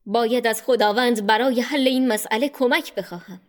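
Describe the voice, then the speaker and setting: A woman voicing a solemn, calm elderly prophet who speaks formally in a storybook tale. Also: fairly high pitch, close to an everyday voice.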